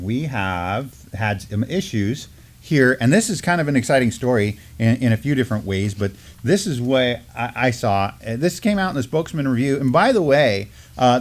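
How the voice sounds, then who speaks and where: A middle-aged man co-hosts a talk-style news show from a studio desk. He reads articles aloud haltingly, stopping for frequent fillers and restarts, in a plain mid-pitched voice. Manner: halting, many fillers while reading. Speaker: co-host. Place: studio with mottled backdrop.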